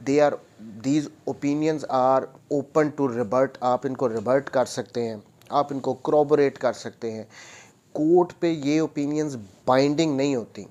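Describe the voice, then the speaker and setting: Slow-paced Pakistani man giving a lecture. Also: medium pitch, a little mellow. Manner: explanatory, repeats and rephrases points, switches between Urdu and English. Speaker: law lecturer, advocate. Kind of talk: lecture explaining statute sections, reading out then paraphrasing.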